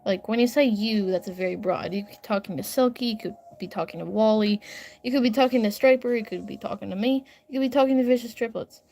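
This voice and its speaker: A woman's voice: a little high, quick pace, mellow, slight background noise. A young woman chatting casually on a livestream at home, rambling, giggling and getting sidetracked while reading comments. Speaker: livestreaming artist. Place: home bedroom upstairs.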